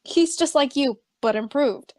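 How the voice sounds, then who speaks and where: A woman's voice: sits high, a little slow, darkish tone, dry recording. A woman playing a chatty, teasing young friend in an amateur fan fiction reading, with a wry, rambling delivery. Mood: teasing, deadpan.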